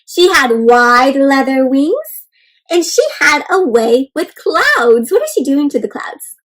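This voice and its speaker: A woman doing an interactive children's read-aloud, expressive and full of exclamations, often putting questions to young listeners. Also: sits high, slow. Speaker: host reading a picture book aloud to children.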